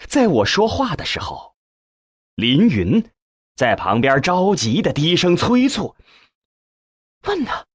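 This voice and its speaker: Husky male audiobook narrator, lively pitch. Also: unhurried, no room sound, clean studio sound. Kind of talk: scripted dramatic narration of a novel.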